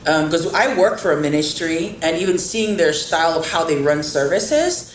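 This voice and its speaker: Low-voiced woman hosting a faith podcast. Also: expressive, moderate pace. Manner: earnest, encouraging, speaks of faith and family. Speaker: podcast host. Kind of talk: casual interview chat. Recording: roomy with faint background noise.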